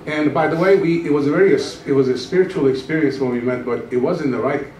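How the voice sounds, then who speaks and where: A man in his sixties, originally from Iran, tells his testimony from the pulpit with easy humor, his voice sitting a little high for a man. He speaks into a handheld mic, but the camera catches him from across a roomy church hall, so the sound is distant and echoing. A steady hum of background noise sits under every line. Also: fast. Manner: storytelling, joking, animated gestures while pacing the stage. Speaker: pastor. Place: church stage, large room. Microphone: handheld microphone. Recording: echoey church hall from far off.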